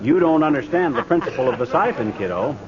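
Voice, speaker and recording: Man acting a blustering, animated know-it-all husband. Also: medium pitch, fast talker, heavy background noise, natural voice. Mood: boastful. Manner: pompous, folksy, indignant. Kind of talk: 1940s radio comedy acting. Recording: dull 1940s radio recording, studio audience.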